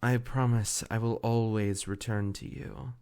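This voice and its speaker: A man playing a devoted, ancient fey familiar spirit in an intimate roleplay audio, speaking formally and tenderly with measured, archaic diction. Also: mid-range voice, fairly even intonation, vocal fry, mellow. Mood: earnest, devoted.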